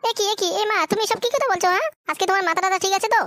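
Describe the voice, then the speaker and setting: A performer voicing a giggly, wheedling gold-digger mistress in an amateur comic cartoon, with artificially raised pitch. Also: rapid-fire, a bit bright, dry recording, studio quality. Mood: surprised, coaxing. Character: giggly, coaxing, gold-digging mistress, flirty and sly.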